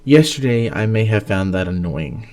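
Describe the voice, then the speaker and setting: A man's voice: lowish voice, expressive, moderate pace, darkish tone. A man who hosts and narrates stories, reading in an earnest, dramatic way and chatting casually about them. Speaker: host and story narrator.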